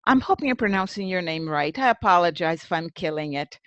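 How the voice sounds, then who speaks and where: A woman's voice: lowish voice, lively intonation, brisk, dry recording, lo-fi sound. A woman presenting an informal webinar as host, conversational, thinking aloud and addressing attendees by name.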